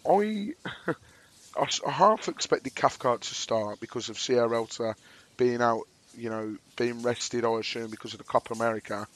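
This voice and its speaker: A hoarse man co-hosting a casual football podcast and running through team news. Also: mid-range voice, normal speed. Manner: laid-back, self-deprecating. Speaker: podcast co-host.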